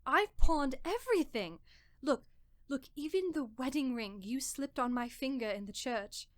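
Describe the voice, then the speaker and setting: Female actor as bitter, nagging wife in radio play. Sarcastic, fairly high voice, dry close recording. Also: animated, unhurried, no room sound. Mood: bitter, scornful. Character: fed-up, bitter wife of a poor man. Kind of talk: audio drama acting.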